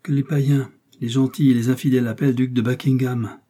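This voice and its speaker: Male reader as young woman prisoner, scheming, theatrical pleading, feigned desperation, soft-edged tone, storybook drama. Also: mid-range voice, flat delivery, fairly quick, husky, close to an everyday voice. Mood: righteous indignation.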